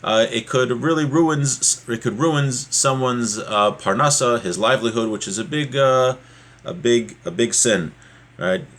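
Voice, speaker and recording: A man's voice: mid-range voice, measured pace, fairly bright tone. Man teaching an informal religious class. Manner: plain-spoken, conversational, explanatory asides. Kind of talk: informal lesson, reading a text aloud and explaining it.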